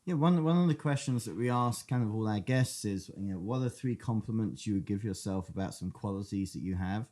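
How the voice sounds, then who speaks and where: A man's voice: lowish voice, a little fast, hardly any pauses, dark tone. A man in his forties with an English accent, hosting a podcast and asking long, friendly, multi-part questions. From England. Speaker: podcast host. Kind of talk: casual interview talk.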